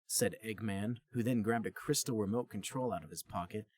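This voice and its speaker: A man's voice: lowish voice, fairly quick, a little mellow, slightly rough. A man co-hosting a podcast, reading a story aloud as narrator and chatting casually between passages.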